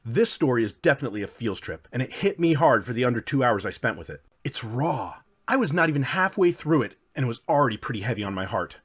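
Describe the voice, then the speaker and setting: A man's voice: mid-range voice, big pitch swings, fast, hardly any pauses, fairly bright tone, slightly rough. A man hosting a casual scripted game review with playful, self-deprecating asides. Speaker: video game reviewer and channel host.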